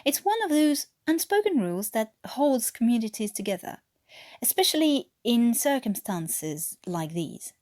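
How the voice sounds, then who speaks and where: A woman's voice: fairly high pitch, very animated, measured pace, darkish tone, dry recording, clean studio sound. A French woman reading a story aloud as an amateur narrator, with a French accent reaching for an English one. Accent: French, attempting English. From France. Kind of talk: expressive scripted story narration.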